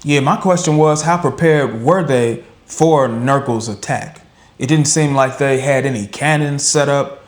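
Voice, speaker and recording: A man giving conversational commentary as a reaction show co-host, explaining things in a measured way. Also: mid-range voice.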